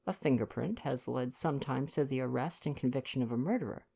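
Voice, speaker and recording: A man voicing an earnest, politely argumentative gentleman sidekick in a story reading. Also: mid-range voice, brisk, gravelly, husky, pitched above the performer's own voice. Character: Poirot's male sidekick, earnest, mildly argumentative English gentleman.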